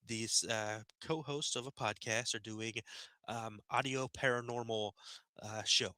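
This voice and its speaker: A man reviewing a movie in a relaxed, chatty way, often tossing in "man". His voice is very bright and forward, a little nasal from a recent sickness. The sound is close and dry, with no room around it. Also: medium pitch, a little slow, no echo. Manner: laid-back, chatty, says "man" a lot. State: slightly nasal, getting over a sickness. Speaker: movie review channel host. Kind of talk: casual spoken film review. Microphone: headphones on, desk podcast mic.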